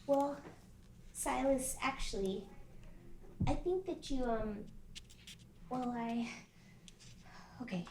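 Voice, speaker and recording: A woman's voice: high-pitched, slow pace, long pauses, dark tone, echoey room, slight background noise. A woman voicing a hesitant, anxious young witch at a table read, stammering over her words. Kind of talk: table read of an animated pilot.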